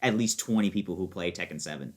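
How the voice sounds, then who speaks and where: A man's voice: sits low, fast talker. A young American man chatting casually as a podcast guest, explaining things in an informal, rambling way full of fillers. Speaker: podcast guest, fighting game player.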